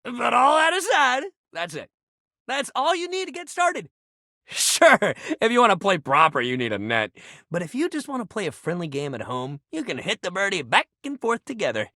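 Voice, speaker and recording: A man's voice: sits high, very animated, moderate pace, pushed and bright, no echo, clean studio sound, full character voice. A man voicing a sporty young guy in a video game, an upbeat badminton lover who talks in slangy, buddy-like coach pep talk. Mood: friendly, instructive. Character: upbeat, sporty young badminton-loving dude, animated shuttlecock. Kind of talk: video game character voice acting.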